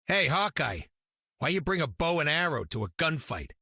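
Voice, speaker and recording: An actor playing a brash, mocking, gun-toting hero in a video game, teasing and slangy. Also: male voice, on the high side, sing-song, normal speed, vocal fry, bright, forceful tone, slightly rough, no room sound, clean studio sound, full character voice.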